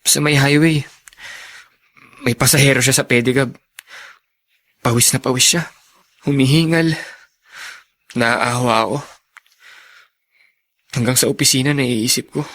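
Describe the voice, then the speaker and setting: A man's voice: sits high, steady tone, moderate pace, pauses often, fairly bright tone. A young man with a Filipino accent acting in a radio drama as a brooding, resentful elder brother. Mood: sad, pitying. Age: young adult. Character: brooding, resentful young older brother, torn over father.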